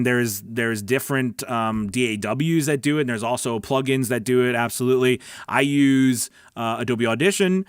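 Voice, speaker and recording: Male livestream coach, mid-pitched, dry close sound; conversational, emphatic, explains informally and checks in often. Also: no room sound. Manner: conversational, emphatic, repeats words and checks in with "right?" and "okay?". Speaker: voice-over coach hosting a live Q&A stream. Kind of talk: casual instructional livestream chat, answering viewer questions.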